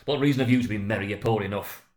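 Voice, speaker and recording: A man's voice: lowish voice, very fast, a little mellow, close to an everyday voice. A man voicing a stern, irritable, miserly old uncle who growls dismissively in a dramatic storybook reading. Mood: indignant scorn.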